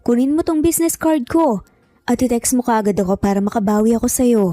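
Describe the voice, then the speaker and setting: A woman's voice: on the high side, expressive, a little fast, warm tone, dry recording, lightly acted. A woman voicing an authoritative young professional man, firm and formally courteous, in an audio story.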